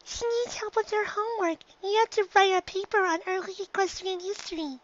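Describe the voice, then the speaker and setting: A woman's voice: very high-pitched, a little fast, highs cut off, voice pushed up. A woman voicing an earnest, sweet little girl pony from a story, with a childlike, emphatic way of talking. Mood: sheepish, fibbing.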